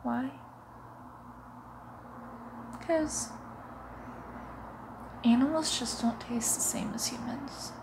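A young female vampire, acted out by a woman for an ASMR roleplay, murmurs extremely slowly and teasingly. Her breathy voice often drops to a whisper, menacing and close to the mic, with wet mouth sounds. A clear bed of room noise sits behind her. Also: sits high. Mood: menacing, hungry. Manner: slow, hushed, often slipping into whispers, teasing.

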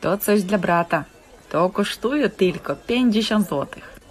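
Actor playing greedy street trader, cartoon, wheedling sales cry, very lively melody, unhurried. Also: mid-range voice, soft background music. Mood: bargaining. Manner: sing-song hawking, wheedling. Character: pushy, greedy market trader hawking wares. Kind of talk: animated stage-play acting.

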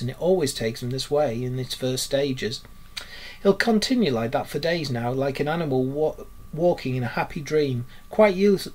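A man voicing a gruff, hot-tempered, no-nonsense water rat in a storybook, speaking firmly and emphatically. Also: mid-range voice, lightly acted. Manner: firm, stern, emphatic. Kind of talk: storybook character voice.

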